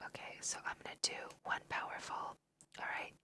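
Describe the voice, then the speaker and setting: A woman whispering casual, chatty ASMR commentary over a video game, thinking aloud in a breathy voice. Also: moderate pace, pushed and bright. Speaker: host of an ASMR let's play.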